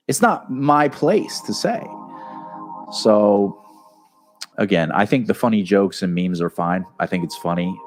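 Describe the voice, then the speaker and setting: Earnest low-voiced male comedian. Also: a little mellow. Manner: earnest, rambling with many restarts. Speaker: comedian and host. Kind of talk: casual solo talk to camera.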